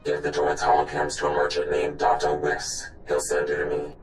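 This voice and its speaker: An actor playing a paranoid, guarded informant in a video game, making wary demands. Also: male voice, very deep voice, completely flat delivery, normal speed, echoey room, slight background noise. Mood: suspicious, guarded. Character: wary, paranoid male informant hiding from killers.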